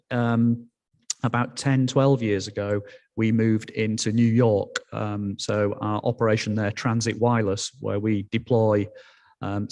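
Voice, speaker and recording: A man with a Yorkshire accent giving an informal business presentation in a somewhat halting way. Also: on the low side, a little mellow. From Yorkshire, England. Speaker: company executive, guest speaker.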